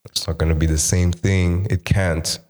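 A young man talking casually about making music, relaxed and repeating his words, slipping Portuguese words into his English. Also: sits low, normal speed. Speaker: musician guest. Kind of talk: casual chat.

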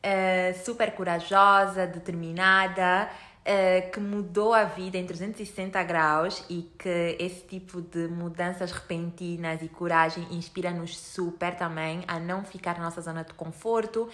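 A woman hosting a casual podcast speaks with a European Portuguese accent. She sounds bright and forceful, eager and full of little fillers. Her voice rings in an echoey room. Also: on the low side, fairly even intonation, moderate pace. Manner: enthusiastic, frequent fillers. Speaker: podcast host.

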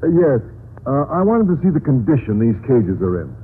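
An actor playing a naive, polite biology teacher in an old radio sitcom, speaking with hesitant earnestness. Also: male voice, medium pitch, big pitch swings, brisk, natural voice. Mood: mild, earnest. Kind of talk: 1940s-50s radio sitcom acting. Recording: noisy, narrow-band old radio recording.

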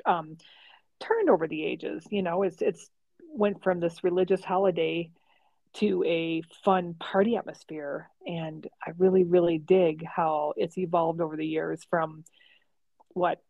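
A woman's voice: fairly low pitch, a little slow, creaky, no echo, narrow, tinny audio. A woman co-hosting a podcast in casual chat, chatty and laughing often. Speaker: podcast co-host.

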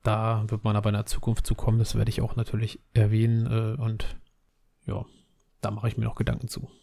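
A man's voice: fairly low pitch, brisk, vocal fry, a little hiss or hum. A man, chatting casually and rambling on a solo podcast while he has a cold. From Berlin, Germany. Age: 30s. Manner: relaxed, rambling, many fillers and restarts. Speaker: podcast host.

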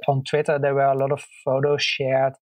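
An interviewer asking measured questions with a few hesitant fillers. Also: mid-range voice, monotone.

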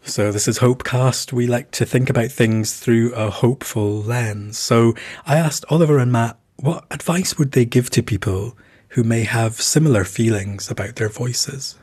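A man hosting a podcast, narrating calmly and asking guests questions. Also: medium pitch, moderate pace, husky. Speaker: podcast host.

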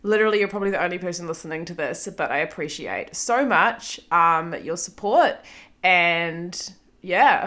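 Bright-voiced New Zealand woman podcasting solo. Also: fairly low pitch. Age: 30s. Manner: chatty, self-deprecating, frequent ums and laughs. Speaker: podcast host.

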